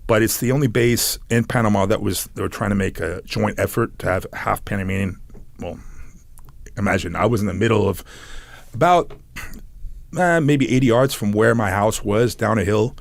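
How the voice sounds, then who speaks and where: Gravelly low-voiced man from San Diego. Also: normal speed, no room sound. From San Diego, United States. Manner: rambling, laughs between phrases. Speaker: guest. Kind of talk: casual storytelling.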